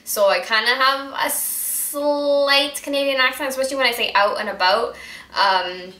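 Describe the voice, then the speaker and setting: A woman's voice: sits high, moderate pace, pushed and bright. A young woman from Guyana with a mostly American accent that carries traces of Guyanese, chatting in a rambling vlog style full of fillers and restarts. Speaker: beauty vlogger. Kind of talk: casual vlog chat. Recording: echoey room.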